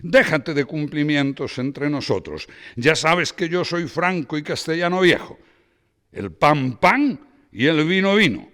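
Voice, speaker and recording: A man voicing a boisterous, overbearing middle-aged man who talks with loud, emphatic bluster. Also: fairly high pitch, lively intonation, a little slow, in a large hall, voice pushed up.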